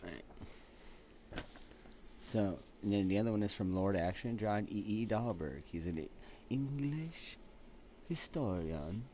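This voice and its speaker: Deep-voiced laid-back man, rambling casually. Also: expressive, mellow. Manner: laid-back, halting, often checking in with "right?" and "you know". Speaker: storytelling vlog host. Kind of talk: rambling casual chat with read-out quotes. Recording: clearly noisy recording with ambient sound.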